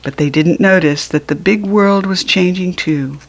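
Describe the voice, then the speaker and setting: A woman with an American accent reading a children's storybook aloud, expressively and with deliberate enunciation. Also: on the low side. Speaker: children's book reader. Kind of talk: scripted storybook narration for children.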